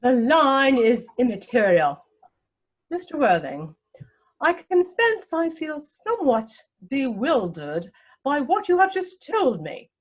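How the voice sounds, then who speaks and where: A woman playing a haughty, snobbish older aristocratic lady in a comic play reading, imperious and pompous, with deliberate enunciation. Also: high-pitched, big pitch swings, dull audio, full character voice. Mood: scandalized, haughty.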